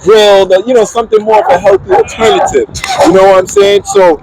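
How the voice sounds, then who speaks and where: A man's voice: sits high, big pitch swings, fast, darkish tone, rough recording. A man in his thirties, a calisthenics athlete, giving preachy, lesson-like advice in a casual street interview. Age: 30s. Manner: preachy, advisory, talks in lessons. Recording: outdoor crowd chatter.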